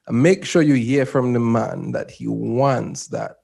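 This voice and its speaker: A man's voice: mid-range voice, unhurried, creaky, darkish tone. A man talking casually in a group discussion. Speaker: podcast panelist.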